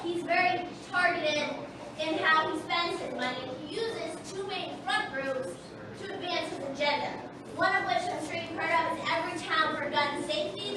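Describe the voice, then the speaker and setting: An American woman giving a public presentation to an audience as an organizer at a meeting. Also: high-pitched. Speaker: gun-rights organizer addressing a meeting. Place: indoor meeting room, picked up from afar. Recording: distant, echoey, noisy room recording.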